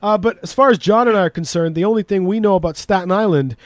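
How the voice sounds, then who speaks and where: A man's voice: sits high, fast, dry recording, clean studio sound. A man with a Canadian accent co-hosting a podcast in casual, talkative chat, joking and swearing freely. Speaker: podcast co-host.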